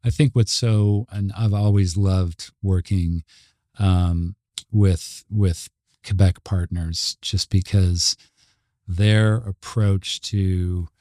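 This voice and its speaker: A middle-aged man from Montreal with a Canadian accent, talking casually and reflectively as an interview guest, with halting phrasing. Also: deep voice, fairly even intonation, mellow, no echo, clean studio sound. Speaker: advertising creative executive, interview guest.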